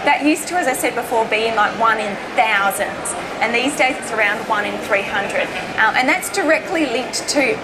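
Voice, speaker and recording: An Australian woman speaks in a somewhat high voice, giving an informal public talk. Her delivery is conversational and emphatic, stressing key words. The recording has loud background noise running behind her. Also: moderate pace, few pauses. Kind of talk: informal seminar presentation. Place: indoor presentation stage at an expo.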